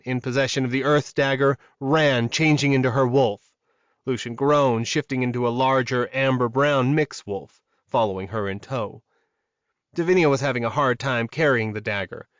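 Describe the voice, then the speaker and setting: A man's voice: mid-range voice, no room sound. A man reading scripted dramatic fiction as an audiobook narrator, recorded close in a dry space with a slightly dull sound.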